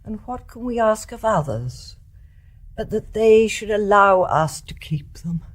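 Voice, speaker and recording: An older English mother in a radio drama, her husky voice grave and resolute. She speaks with a British accent in measured, refined phrases, at a middling pitch for a woman. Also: animated. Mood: tender, sorrowful.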